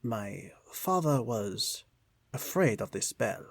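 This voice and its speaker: A man's voice: on the low side, big pitch swings, slow, gravelly, dry recording, full character voice. A man playing a courteous, guarded shopkeeper with flowery, formal phrasing in an old-time radio style drama. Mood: solemn warning. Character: courteous, guarded shopkeeper son, quaintly formal, solemn storyteller.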